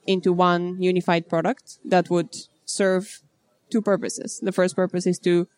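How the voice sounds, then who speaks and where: A woman from Bulgaria in her thirties, a startup founder answering interview questions in a measured, businesslike way. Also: on the low side, little pitch movement, a little mellow.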